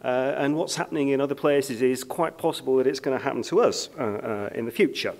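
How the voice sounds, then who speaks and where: A man's voice: medium pitch, fairly quick, darkish tone. Hesitant British man giving a keynote. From United Kingdom. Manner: hesitant, many ums and uhs, self-deprecating. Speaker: keynote speaker. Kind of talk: conference keynote speech. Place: conference stage under lights.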